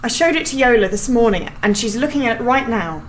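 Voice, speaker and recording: An actress playing an anxious, superstitious young wife in a radio drama, fretful and insistent. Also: female voice, fairly high pitch, expressive, fast talker, echoey room, slight background noise, natural voice, poor audio. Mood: uneasy, fretful. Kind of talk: naturalistic radio drama acting.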